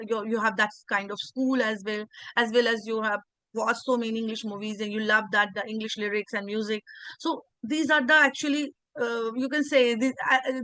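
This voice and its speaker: A woman's voice: fairly high pitch, bright, forceful tone, no echo. An English teacher with an Indian accent, a native Hindi speaker, talking earnestly in a video interview and often restarting her sentences. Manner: earnest, repeats words, frequent restarts. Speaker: English teacher and channel host.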